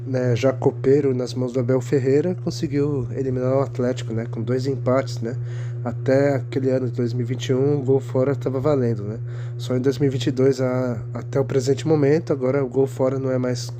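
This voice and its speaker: A Brazilian man sounds stuffy and a bit rough from a cold as he talks through a football season in a loose, rambling way. He keeps tacking on little tag questions and restarting his sentences, at a middle pitch. A steady hum runs clearly under his home recording. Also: fairly quick. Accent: Brazilian Portuguese. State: congested from a cold. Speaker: football history youtuber. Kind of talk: casual solo commentary video.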